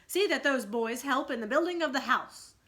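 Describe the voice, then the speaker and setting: A woman plays a young boy captain from a storybook, eager and commanding. His voice is bright and forceful, and he issues crisp orders as if leading a gang. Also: high voice, animated, normal speed, voice pushed up.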